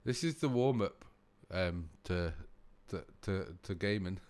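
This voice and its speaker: Male streamer, low boomy voice, rambling and sweary, close-mic. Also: lively intonation, unhurried, darkish tone, smooth. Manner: rambling, profane, laughs often. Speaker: livestreamer reacting to videos. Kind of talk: casual live-stream chat. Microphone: desk mic close to face.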